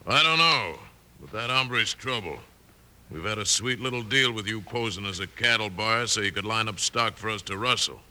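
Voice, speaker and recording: A man's voice: fairly low pitch, expressive, normal speed, bright, forceful tone. A man playing a menacing cattle rustler and killer, hard and threatening, in a 1950s radio Western. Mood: wary.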